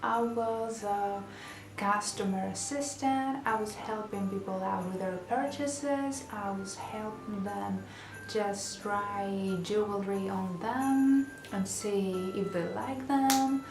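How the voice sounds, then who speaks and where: A woman's voice: medium pitch, fairly even intonation, slow. A young woman with a Greek accent, chatting to camera in a casual vlog with many fillers and restarts. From Greece. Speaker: vlogger. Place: small bedroom indoors. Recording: roomy echo, music behind. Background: background music.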